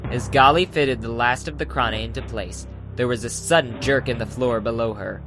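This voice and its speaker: A man narrates a dramatized audiobook in a scripted, dramatic style. His voice sits at a middle pitch. Music plays clearly underneath. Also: moderate pace, a bit bright.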